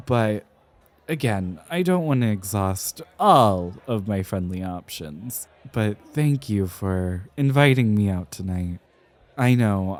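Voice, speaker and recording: A man playing an anxious, rambling young architect in an ASMR roleplay, with crisply enunciated, theatrical but hesitant speech. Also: on the low side, darkish tone. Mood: sheepish, grateful. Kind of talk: ASMR roleplay, speaking to the listener.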